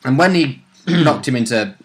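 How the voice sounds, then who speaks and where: Man chatting informally, mid-pitched. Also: lively intonation, normal speed. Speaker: player and co-commentator. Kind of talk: casual chat.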